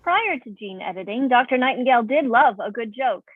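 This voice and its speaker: A woman's voice: fairly high pitch, lively intonation, a little fast, no room sound. Woman as a polite assistant, very muffled. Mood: informative. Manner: precise, courteous, formal. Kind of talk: staged play reading. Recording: very dull, muffled, dry sound.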